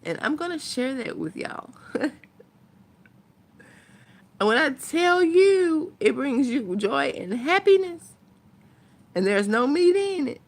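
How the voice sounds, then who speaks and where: A woman's voice: sits high, sing-song, slow, long pauses. A woman chatting casually to her viewers as a vlogger, thinking aloud in a rambling way.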